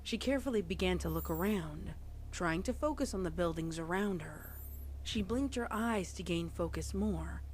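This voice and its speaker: A woman's voice: fairly low pitch, lively intonation, moderate pace, a little mellow. A woman reading fan fiction aloud as a storyteller, with casual reaction asides. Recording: faint background noise.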